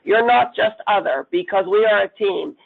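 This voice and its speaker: Hoarse raspy woman on a phone line. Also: medium pitch, moderate pace. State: hoarse from a cold. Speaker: chief nursing officer presenting. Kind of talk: webinar presentation.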